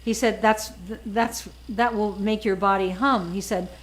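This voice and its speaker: A woman's voice: mid-range voice, steady tone, measured pace. A woman in her sixties, an author, talking casually and emphatically, often repeating words as she makes her points. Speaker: author and guest. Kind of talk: casual chat.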